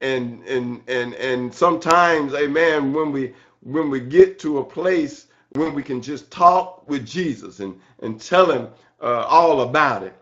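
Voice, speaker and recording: Slow, fervent male preacher, dull recording. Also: medium pitch. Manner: halting, with repeated phrases and frequent amen and hallelujah interjections; excited, fervent. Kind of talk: impassioned church sermon. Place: church service.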